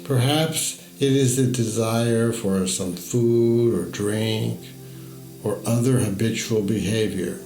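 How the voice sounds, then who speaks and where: Slow, echoey man guiding a meditation. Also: fairly low pitch, mellow. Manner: slow, measured, deliberate pauses between phrases. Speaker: guided meditation narrator. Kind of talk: guided meditation storytelling. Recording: echoey voice over music. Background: music.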